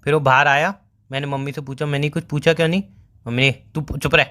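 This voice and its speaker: Man playing young boyfriend, rapid speech, slightly high male voice, teasing, evasive, casual phone-call drama. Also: fairly even intonation, sharp tone. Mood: explaining, storytelling. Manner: bantering, explanatory, anecdotal. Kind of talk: casual scripted couple phone call in Hindi.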